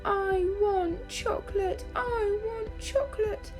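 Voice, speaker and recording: A woman's voice: very high voice, steady tone, over music, pitched above the performer's own voice. A woman voicing a bad-tempered little girl wailing and crying in a tantrum, in a storybook reading. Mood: bawling tantrum. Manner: wailing, drawn-out demands.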